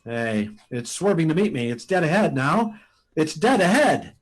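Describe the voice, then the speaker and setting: A man playing a daring, steady test pilot given to excitement, in radio drama style. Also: fairly high pitch, normal speed, breathy edge. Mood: excited.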